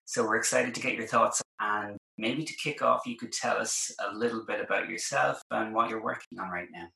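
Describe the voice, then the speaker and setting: A male podcast host with a lowish, bright voice who sounds polished and upbeat as he introduces his guest and asks questions. His voice carries a noticeable room echo. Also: fairly quick, vocal fry. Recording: roomy echo.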